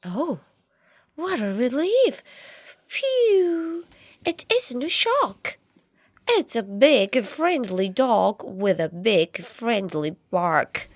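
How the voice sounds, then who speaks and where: A woman reading a picture book aloud to young children, dramatically, with drawn-out words and shifts between hushed and loud delivery. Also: a little high, sing-song, dry recording. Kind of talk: animated read-aloud storytelling for young children.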